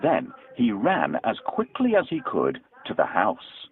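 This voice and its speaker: A man narrating a children's story from a script in a dramatic, expressive storytelling manner. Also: on the low side, a little slow, phone-quality sound.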